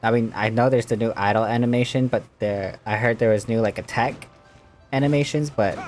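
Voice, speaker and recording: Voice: medium pitch, steady tone, a little fast, darkish tone, faint music behind. A video game streamer giving casual, informal gameplay commentary, with reactive asides and dialogue choices read aloud.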